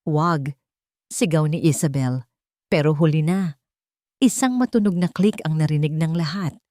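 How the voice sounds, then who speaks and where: A woman's voice: sits low, normal speed, mellow, no echo, clean studio sound. A woman narrating a story in a scripted, dramatic and suspenseful style.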